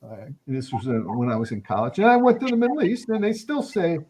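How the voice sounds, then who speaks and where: Older man musing in halting speech. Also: fairly high pitch, huge pitch swings, dark tone. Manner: thoughtful, hesitant, many restarts and fillers. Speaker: guest anthropologist. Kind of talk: reflective conversational interview answers.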